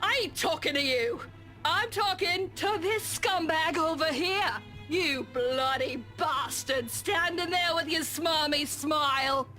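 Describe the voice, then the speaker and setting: A woman playing a furious, scornful wife shouting in a video game. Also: high voice, slow pace, faint music behind, full character voice. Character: furious jealous wife screaming at a scumbag.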